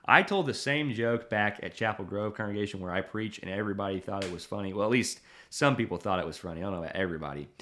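A man's voice: lowish voice, quick pace, few pauses, pushed and bright, a little hiss or hum. A man, a preacher hosting his podcast, chatting and telling a self-deprecating story with lots of fillers. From Tennessee. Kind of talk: casual podcast chat and storytelling.

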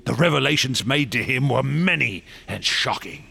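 A gravelly, passionate obsessed explorer. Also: male voice, medium pitch, animated, moderate pace, bright, forceful tone, exaggerated voice. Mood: fervent storytelling. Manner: theatrical, grandiose storyteller. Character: passionate, secretive male artist and explorer obsessed with ancient gods. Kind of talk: radio drama acting.

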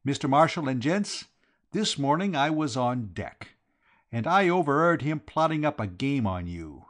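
A man's voice: medium pitch, big pitch swings, normal speed, fairly bright tone, voice pushed up. A man voicing a brash, gloating working-class London man who drops his aitches in a storybook reading. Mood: gloating, accusing.

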